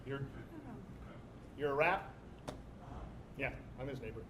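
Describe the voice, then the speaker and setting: A man's voice: mid-range voice, slow. A man performing on stage as a reader in a literary dramatization. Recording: live stage recording with background noise.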